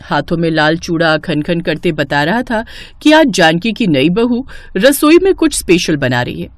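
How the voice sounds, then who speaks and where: A woman's voice: lowish voice, very animated, moderate pace. A woman storyteller reading a scripted family story narration.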